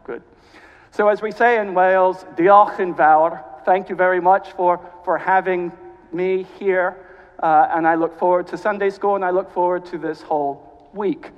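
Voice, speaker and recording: A man's voice: high-pitched, steady tone. A man from South Carolina with a mid-Atlantic accent, a cathedral canon giving a guest sermon with dry humor; he is jet lagged. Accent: mid-Atlantic, American Southern with British. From South Carolina, USA. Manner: genial, dry humor, measured. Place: church. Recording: dull sound, long reverb of a church.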